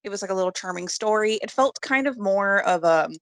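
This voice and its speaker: A woman's voice: medium pitch, lively intonation, a little fast, a bit bright, dry recording. Female podcast guest, mid-range voice, chatty and giggly, casual talk, dry recording. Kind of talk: casual chat about games and films.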